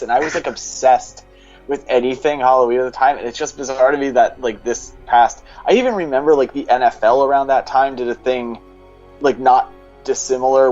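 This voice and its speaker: A man's voice: medium pitch, darkish tone. A man talking casually as a guest on a podcast. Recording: dull lo-fi mic, faint music behind.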